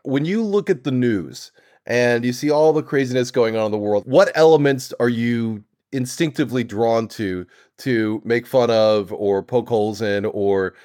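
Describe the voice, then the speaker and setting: Male podcast host, medium pitch, conversational and encouraging, very dry recording. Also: moderate pace, darkish tone, no echo. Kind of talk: conversational interview.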